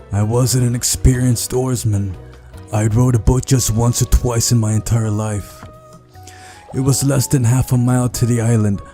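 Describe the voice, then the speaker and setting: A man's voice: mid-range voice, warm tone. A man hosting a visual novel playthrough, reading the story aloud with casual, playful asides over game music. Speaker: video game playthrough host.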